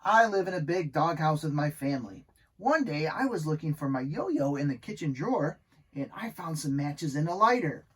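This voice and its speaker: A man's voice: on the high side, lively intonation, moderate pace, husky. A man voicing an earnest, childlike young dog in a children's storybook, speaking simply to kids. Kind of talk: children's storybook read aloud.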